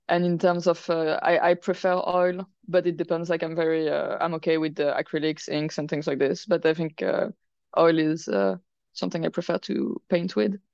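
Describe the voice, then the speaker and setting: A woman's voice: sits low, darkish tone, clean tone, no room sound. A young woman from France, a guest chatting casually in an interview, speaking hesitantly with many fillers. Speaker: guest, chess boxer and painter. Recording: dry, dull lo-fi sound.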